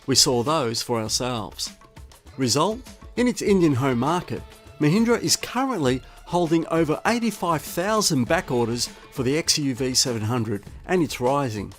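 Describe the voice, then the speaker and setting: Aussie guy doing a scripted car review voiceover, really animated, bit of music under it. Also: mid-range voice, normal speed. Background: music bed.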